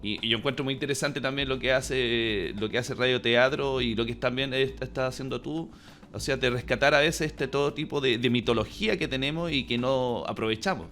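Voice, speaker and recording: A man with a Chilean accent hosting a casual interview, phrasing haltingly with frequent tag questions. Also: medium pitch, fairly bright tone. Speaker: interviewer and host.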